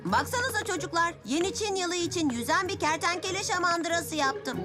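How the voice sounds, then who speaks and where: A woman voicing an excitable young child superhero in a cartoon dub, in a dramatic, exclamatory manner. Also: very high-pitched, normal speed. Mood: eager. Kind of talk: animated cartoon dub acting. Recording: loud music behind the voice.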